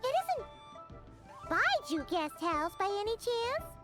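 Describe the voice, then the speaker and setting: A tiny, childlike fairy companion from a video game. The voice is extremely high and squeaky, cheerful and chatty, with very lively swings of pitch. Music plays clearly behind. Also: female voice, slow, exaggerated voice. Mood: curious. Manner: speaks of herself in third person, exclamatory. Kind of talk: video game character dialogue.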